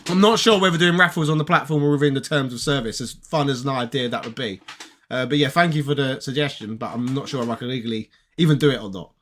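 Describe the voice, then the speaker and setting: A British man with a tired, raspy voice, chatting casually on a live stream and reading viewer comments aloud. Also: medium pitch, quick pace, fairly bright tone, a little hiss or hum. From Britain. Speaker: retro gaming streamer.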